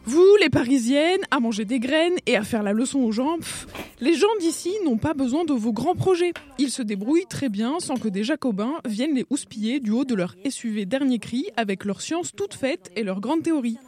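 A woman's voice: high-pitched, animated, moderate pace, a little mellow. An actress playing a blunt, scornful village woman, brusque and scolding, in an audio drama. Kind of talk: audio fiction acting.

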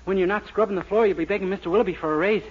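A man's voice: sits high, racing, close to an everyday voice. An actor playing a naive, scheming, eager young American man in a 1940s radio sitcom. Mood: earnest. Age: young adult. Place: radio studio. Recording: muffled, hissy 1940s radio recording.

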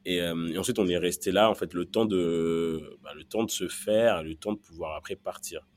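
Very low-pitched man telling his story. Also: moderate pace. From born in Central Africa, raised in Belgium from early childhood. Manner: hesitant, repeats words as he searches for phrasing. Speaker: podcast guest. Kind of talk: personal storytelling in an interview.

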